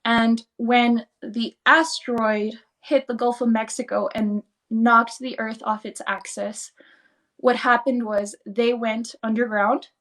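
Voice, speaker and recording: A woman whose first language is Spanish, a guest on a livestream chat, explaining things in a fast, rambling way full of "like"s. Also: fairly high pitch, fairly bright tone.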